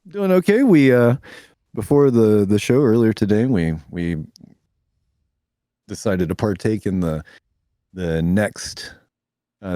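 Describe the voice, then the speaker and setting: A man co-hosting a podcast in a laid-back casual chat, a little hoarse, reading listener comments aloud. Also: fairly low pitch, warm tone, dry recording, studio quality. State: slightly hoarse. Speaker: podcast co-host.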